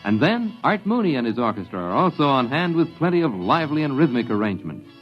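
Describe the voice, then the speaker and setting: A man's voice: mid-range voice, big pitch swings, fast, soft background music. A man with an American accent doing 1950s network radio promo announcing. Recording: muffled vintage radio broadcast.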